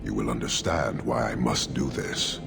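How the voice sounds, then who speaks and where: Huge imperious warlord from a video game, super deep n gravelly, terse and grave, loud music under it. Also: male voice, steady tone, exaggerated voice. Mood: stern, unyielding. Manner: terse, grave, measured pronouncements. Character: stern, imperious horned giant warrior commander. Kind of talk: video game cutscene acting.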